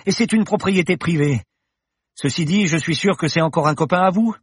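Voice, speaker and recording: A man voicing an anxious, sharp-tongued young woman in an audiobook, protesting in an alarmed way. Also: on the high side, fairly quick, breathy edge, no room sound, clean studio sound, pitched above the performer's own voice.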